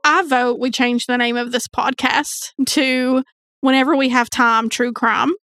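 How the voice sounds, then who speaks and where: A woman's voice: high voice, steady tone, a little slow, bright, forceful tone. A woman co-hosting a podcast, chatting casually and informally with wry banter. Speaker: podcast co-host. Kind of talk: casual true crime podcast chat.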